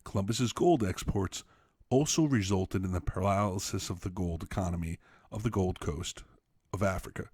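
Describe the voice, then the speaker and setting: A man reading an essay aloud as a teacher, expressively, with emphatic, deliberate phrasing. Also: deep voice, darkish tone, husky, dry recording, studio quality. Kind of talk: expressive read-aloud narration for students.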